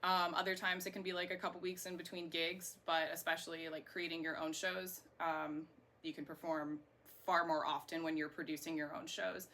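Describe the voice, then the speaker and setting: A woman's voice: low voice, level tone, normal speed, bright, forceful tone. An American woman in her thirties from Florida, giving chatty, rambling answers in a casual interview. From Florida, United States. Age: 30s. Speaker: interviewee, singer and burlesque performer. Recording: clear background noise.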